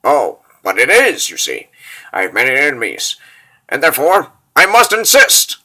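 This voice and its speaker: A man voicing a suave, inquisitive rogue of a sky pirate in a comic book dub, courtly and smooth-talking. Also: medium pitch, wildly swooping pitch, normal speed, sharp tone, heavily acted. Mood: suave, probing. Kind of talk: comic book dub, dramatic character voices.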